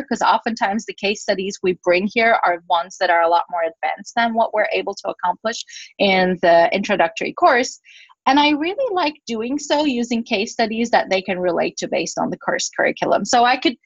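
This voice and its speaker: A woman's voice: medium pitch, animated, normal speed, no echo, dull audio. A woman whose first language is Turkish, giving a university teaching talk over a video call and answering questions, explaining with fillers and restarts. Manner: explanatory, fillers and self-corrections. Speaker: guest speaker, professor. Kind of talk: webinar talk and Q&A.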